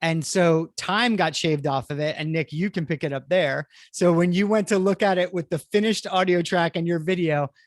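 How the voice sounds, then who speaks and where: A man's voice: a little high, normal speed, few pauses, no room sound, studio quality. A man moderating a live theatre panel, explaining things with enthusiasm.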